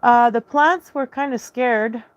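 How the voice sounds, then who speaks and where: A woman's voice: a little high, slow, no room sound, dull audio. A woman telling her own story in a casual, rambling monologue, hesitating often, restarting sentences and spelling out words letter by letter.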